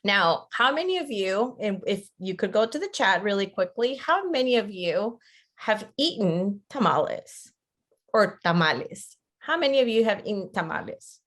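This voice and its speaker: A woman's voice: mid-range voice, very animated, moderate pace, a bit bright. A woman presents an interactive online lecture in a conversational way, often checking in with her listeners. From Costa Rica. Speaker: webinar presenter, teacher educator.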